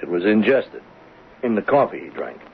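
An actor playing a blunt, insistent police lieutenant with a matter-of-fact manner in a 1970s radio drama. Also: male voice, lowish voice, big pitch swings, normal speed, vocal fry. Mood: probing. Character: blunt, probing male police detective lieutenant. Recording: tinny, hissy 1970s radio recording.

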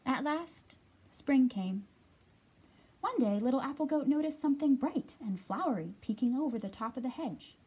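A woman's voice: high voice, lively intonation, normal speed, a little mellow, slightly rough. A woman in her thirties hosting a children's storytime, reading and talking expressively to young listeners as a librarian. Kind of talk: picture book read-aloud and storytime talk for young children.

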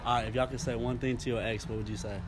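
A man's voice: medium pitch, little pitch movement, racing. A young American man hosting casual street interviews in a busy shopping mall with a handheld mic. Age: young adult. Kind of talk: casual public interview vlog. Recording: loud music behind the voice.

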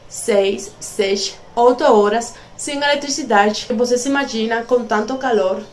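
A woman's voice: a little high, slight background noise. A young Cuban woman vlogging casually to a phone camera, speaking careful learner's Portuguese with a Spanish accent. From Cuba. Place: small room at home, indoors.

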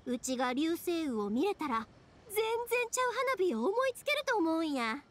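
High, lively Kansai young craftswoman. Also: moderate pace. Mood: earnest, hopeful. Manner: peppy, animated, talkative. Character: cheerful, chatty young woman fireworks craftswoman, warm-hearted dreamer. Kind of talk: Japanese anime video game voice acting. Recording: background music behind dialogue. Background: game soundtrack music.

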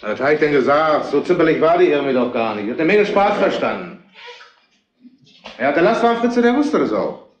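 Gossipy tavern witness, dull recording. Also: male voice, a little high, sing-song, brisk. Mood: defensive. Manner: colloquial, rambling, insinuating. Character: blunt, gossipy tavern regular, crude-humoured male witness. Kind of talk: German crime radio play acting, retro. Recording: dull, band-limited old radio recording.

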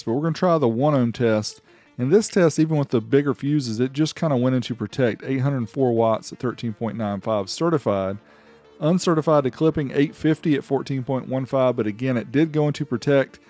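A man's voice: mid-range voice, brisk, warm tone. Casual man reviewing gear over music. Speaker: host reviewing car audio amplifiers.

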